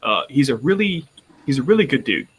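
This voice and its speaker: A man's voice: medium pitch, sing-song, a little fast, a bit bright, slightly rough. A man with a Texan accent chatting casually on a roundtable, rambling with frequent restarts. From Texas. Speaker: roundtable panelist. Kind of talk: casual chat.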